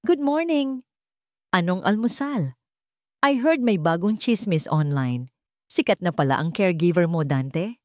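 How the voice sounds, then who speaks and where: Mapanuksong kontrabidang babae, ginagampanan ng tagapagsalaysay, masigla ang tono. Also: female voice, fairly low pitch, expressive, a little slow, pauses often, no room sound, studio quality. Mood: mocking cheer. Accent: Filipino. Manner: mock-innocent, taunting. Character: smug, scheming, spiteful woman villain.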